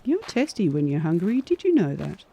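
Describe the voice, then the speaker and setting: A woman's voice: lowish voice, big pitch swings, moderate pace, mellow, husky, exaggerated voice. A woman playing a bold, fierce werewolf prospecting in the Old West in a horror audio drama, confident and wry. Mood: teasing.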